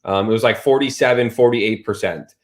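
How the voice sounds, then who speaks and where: A man in his thirties in casual chat, telling stories with lots of fillers and lively swings in his voice. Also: fairly low pitch, a little fast. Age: 30s. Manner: animated storyteller, many fillers.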